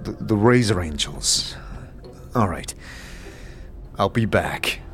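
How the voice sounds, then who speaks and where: A man playing a wary, reluctant adventurer in an audio drama, blunt but halting and stammering. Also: on the low side, expressive. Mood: wary, puzzled.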